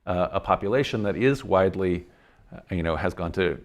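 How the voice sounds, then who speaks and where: A man with a low voice talks through his reflections as a lecturer would in a relaxed podcast discussion. His delivery is articulate and thoughtful, often halting as he works out the wording. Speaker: lecturer answering audience questions.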